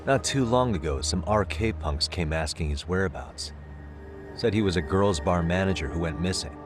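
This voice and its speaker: An actor as a low-voiced, probing male detective. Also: music in the background, natural voice. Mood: casual, curious. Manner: deliberate, probing questioning. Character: persistent adult male private detective, cool and probing. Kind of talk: English-dubbed video game drama.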